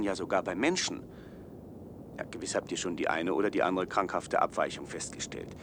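A man's voice: lowish voice, creaky, a little hiss or hum. An actor playing a naive, condescending city man in a German science-fiction radio play, with a lecturing manner. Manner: lecturing, self-assured.

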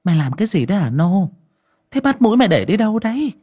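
A man doing a shrill old mistress. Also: very animated, fast, warm tone, slightly rough, exaggerated voice. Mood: scolding. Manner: scolding, high-pitched exclamations.

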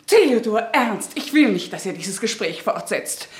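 A woman's voice: mid-range voice, expressive, a little slow, natural voice. An actress playing an anxious, doting middle-aged mother in a radio play, emotional and fussing over her family. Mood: alarmed, pleading. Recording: echoey room with faint noise and clicks.

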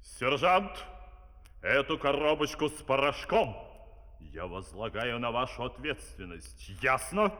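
An actor playing an imperious villainous dictator, giving orders in a pompous theatrical manner. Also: male voice, mid-range voice, sing-song, measured pace, bright, forceful tone. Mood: commanding, pompous. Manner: pompous, commanding. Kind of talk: theatrical children's audio play acting. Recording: 1970s vinyl record, long hall reverb.

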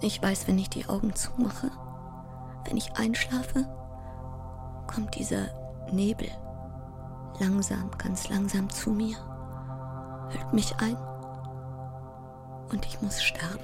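Low-voiced, overwrought sleepless actress. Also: female voice, takes it slowly, long pauses, music in the background. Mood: fearful, hushed. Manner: intense, fragmented, often dropping into breathy whispers. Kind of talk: theatrical audio drama monologue.

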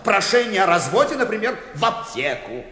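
Fussy high-pitched bank director. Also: male voice, very animated, bright, forceful tone, exaggerated voice. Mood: flustered polite. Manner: fussy, politely exasperated, hurried repetitions. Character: fussy, flustered male bank director, pompous but evasive. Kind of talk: comic radio play acting. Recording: roomy, reverberant radio drama recording.

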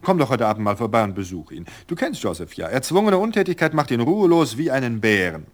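A man voicing a cheerful, flighty society woman in an audiobook, speaking brightly and breezily. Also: medium pitch, big pitch swings, fast talker, voice pushed up. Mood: breezy cheerful. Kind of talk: audiobook character voice.

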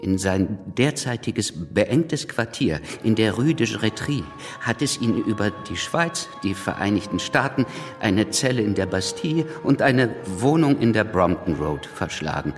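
An eloquent, ironic revolutionary writer. His mid-range voice is highly animated and reverberant, with faint music around the radio drama narration.

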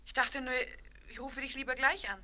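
Bright, high-voiced anxious woman. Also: fairly even intonation, fairly quick. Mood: anxious, apologetic. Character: anxious, eager woman confiding a worry. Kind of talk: German radio drama acting.

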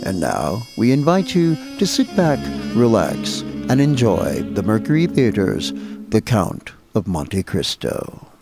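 Man hosting over loud music. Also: lowish voice, big pitch swings, a little slow, gravelly. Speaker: radio show host. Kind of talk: scripted narration introducing old time radio. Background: loud music under the voice.

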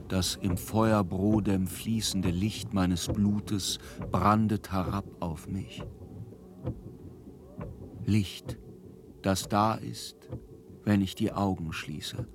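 A man acting a rapt nature lover in a poetic radio drama, with measured, reverent delivery. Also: steady tone. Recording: clear ambient rumble behind voice.

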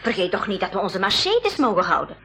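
A woman's voice: mid-range voice, big pitch swings, brisk, a bit bright, faint music behind. An actress playing a bold, stubborn woman explorer in a school radio drama, reasoning emphatically. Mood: insistent. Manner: emphatic, clearly enunciated reasoning. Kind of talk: 1980s Dutch-language school radio drama acting.

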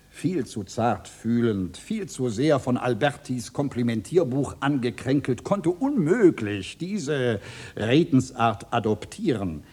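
Husky, swaggering satirist doctor, grandiose and mocking, very animated delivery for radio drama. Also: male voice, medium pitch, heavily acted. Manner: grandiloquent, theatrical mock-courtesy. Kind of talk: classic German radio play acting.

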